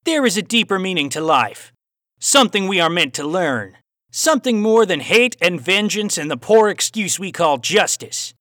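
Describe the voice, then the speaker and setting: A man voicing a menacing, philosophical cowboy villain in an audiobook, with an Australian accent touched by cowboy twang and a formal, taunting, deliberate manner. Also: sits high, sing-song, a bit bright, no echo, studio quality, pitched above the performer's own voice. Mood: sinister musing. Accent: Australian with a hint of cowboy twang. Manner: formal, deliberate, sinister rhetorical questioning. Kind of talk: audiobook character voice.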